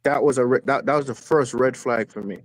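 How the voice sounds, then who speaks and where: Low-voiced man ranting as a football fan. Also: a little fast, dry recording. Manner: emphatic, repeats words and phrases for stress. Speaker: fan caller on a football live stream. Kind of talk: impassioned fan rant, casual. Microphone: phone, video call.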